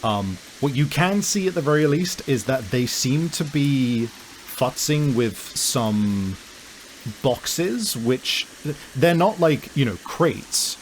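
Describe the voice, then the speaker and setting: A man improvising scene descriptions as a game master, halting as he searches for words. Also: medium pitch, expressive, a little hiss or hum, rough recording. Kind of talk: improvised tabletop game narration.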